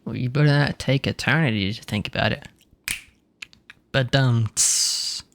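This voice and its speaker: Casual gravelly man commenting on a game. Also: mid-range voice, a little slow, a bit bright. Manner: informal, joking, bro-ish slang. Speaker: game streamer.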